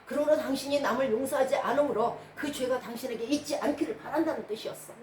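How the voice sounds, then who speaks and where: A woman's voice: high voice, fast talker, darkish tone. A woman preacher delivering a didactic sermon, posing rhetorical questions to her congregation. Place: church hall during a service. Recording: echoey room, distant mic, background noise.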